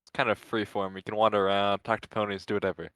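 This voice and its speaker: A man co-reading a fanfic, casually explaining things with relaxed fillers. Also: fairly low pitch, quick pace, smooth, dry recording. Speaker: co-reader and commentator.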